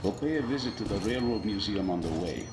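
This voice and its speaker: An actor playing a practical, steady ranger in a video game, briefing the squad in a businesslike way. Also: male voice, fairly low pitch, quick pace. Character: practical, steady male ranger laying out a plan. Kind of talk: dramatic video game acting. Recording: echoey with loud background noise.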